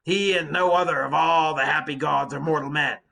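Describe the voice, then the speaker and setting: A man voicing a blinded giant Cyclops from an epic storybook reading, bitter and vengeful, declaiming in slow, drawn-out phrases. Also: a little high, fairly even intonation, gravelly, sharp tone. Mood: bitter, pleading.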